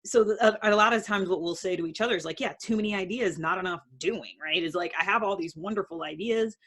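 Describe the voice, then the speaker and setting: A woman's voice: medium pitch, fast talker, fairly bright tone. A woman vlogger explaining things casually and unscripted, in a rambling conversational way with many hedges. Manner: conversational, rambling, hedging with "like" and "sort of". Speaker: video blogger on personality typing.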